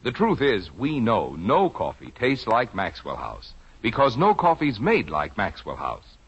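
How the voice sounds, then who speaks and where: A man's voice: fairly low pitch, huge pitch swings, a little slow, slightly rough. An American man reading a scripted, persuasive 1950s radio commercial as an announcer. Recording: muffled old radio recording.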